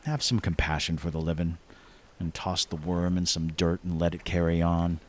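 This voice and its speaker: Older male actor as a lifelong buddy, lowish voice, dry teasing, naturalistic drama, faint ambient noise. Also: fairly even intonation, normal speed, natural voice. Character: old man, lifelong fishing buddy, dry, good-natured teaser. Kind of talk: naturalistic short film drama acting.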